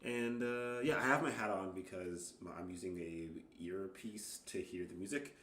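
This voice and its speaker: A man chatting casually and self-deprecatingly as an amateur worship musician on a livestream, in a halting, rambling, apologetic way. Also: low voice, a bit bright, a little hiss or hum. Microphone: microphone shared with guitar. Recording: roomy, with guitar music.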